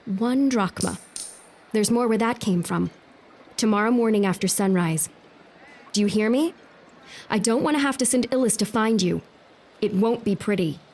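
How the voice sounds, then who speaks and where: Actress as young noble lady, firm, composed, bossy, mid pitched, radio play style. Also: moderate pace, pauses often, darkish tone, slight background noise, close to an everyday voice. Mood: stern authority. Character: resolute young noblewoman running an army hospital. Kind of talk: audio drama acting.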